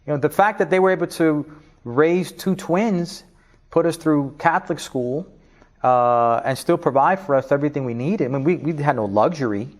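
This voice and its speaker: A middle-aged man from Spanish Harlem with a New York accent, giving an animated interview, laughing often and restarting his sentences. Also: fairly high pitch, normal speed. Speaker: interview guest, business leader. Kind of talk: casual interview talk.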